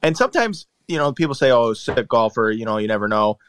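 A man's voice: medium pitch, very animated, fast talker, fairly bright tone, clean tone, no room sound. A man chatting casually on a podcast, telling long, rambling, self-deprecating stories into a close mic. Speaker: golfer guest and regular of the podcast.

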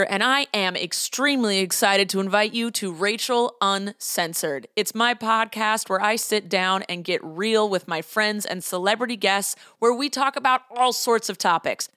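A bright-voiced woman delivers a chatty podcast promo. She sounds upbeat and conversational while following a script. The recording is dry with no room echo. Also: mid-range voice. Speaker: podcast host.

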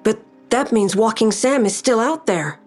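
An anxious, higher-voiced woman. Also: expressive, moderate pace, darkish tone, soft background music. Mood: uneasy. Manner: short, uneasy questions. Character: anxious, questioning woman seeking reassurance. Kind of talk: audio drama acting.

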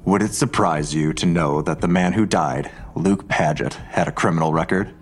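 Menacing, very low-voiced male detective played by an actor. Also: normal speed, faint music behind, close to an everyday voice. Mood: cold, probing. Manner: pressing, accusatory, pointed questioning. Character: menacing, suspicious male police detective interrogating a woman. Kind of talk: audio drama acting.

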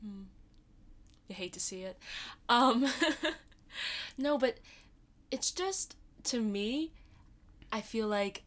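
A woman's voice: fairly high pitch, expressive, drawn-out words, long pauses, a bit bright. A young woman, co-hosting a podcast in rambling casual chat with many hesitant "um" fillers. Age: young adult. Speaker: podcast co-host.

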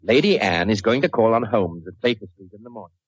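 On a muffled vintage radio recording, a mischievous plotter. His voice is lowish and husky, close and dry. He talks in a casual, confiding way. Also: expressive, quick pace, dry recording. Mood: sly, confiding. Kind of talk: 1940s radio drama acting.

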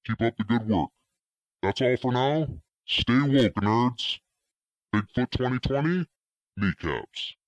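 Brash young man, deliberately deep voice. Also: lively intonation, no echo. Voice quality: voice deliberately lowered and throaty. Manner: brash, teasing, crude. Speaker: caller. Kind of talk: voicemail message.

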